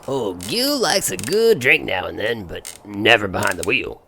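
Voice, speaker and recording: A folksy American trucker down on his luck, gravelly and very swoopy in pitch. He is sheepish, courteous and a bit proud, as in an audio drama. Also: big pitch swings, unhurried, pushed and bright, slight background noise, full character voice. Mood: sheepish, defensive. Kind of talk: audio fiction acting.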